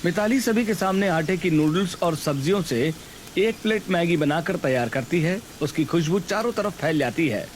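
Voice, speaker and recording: A man's voice: fairly high pitch, animated, moderate pace, slight background noise. A man reading scripted storybook narration for a Hindi cartoon tale. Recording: background music under voice.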